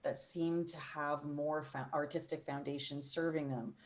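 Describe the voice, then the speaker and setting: A woman in her forties speaks in a low voice with earnest, emphatic passion. She talks as a panelist over a video call. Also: level tone, measured pace. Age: 40s. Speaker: opera singer and voice teacher on a panel. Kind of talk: panel discussion.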